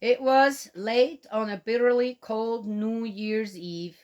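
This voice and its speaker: Slow, gentle woman reading to kids. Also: fairly high pitch, steady tone, dull audio. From Switzerland. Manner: gentle, chatty, talks directly to young listeners. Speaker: children's storyteller. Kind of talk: reading a picture book to children.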